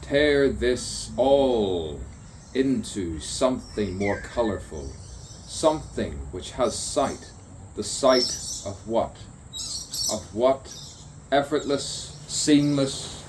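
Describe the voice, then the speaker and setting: A man with a medium-pitched voice delivers improvised spoken-word poetry at an unhurried pace. He breaks it into short, theatrical, musing phrases that repeat and rhyme. Faint background noise of crickets and birds sits behind him. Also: animated, darkish tone. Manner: rhyming, repetitive wordplay in short, deliberate phrases. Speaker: poet.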